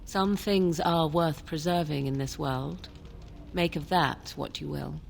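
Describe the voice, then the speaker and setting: An actress playing a mysterious, guarded young sorceress in a fantasy game, speaking in a cool, formal, archaic manner. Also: female voice, low-pitched, fairly even intonation, slow, vocal fry, a little hiss or hum, full character voice. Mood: firm. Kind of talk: fantasy video game dialogue.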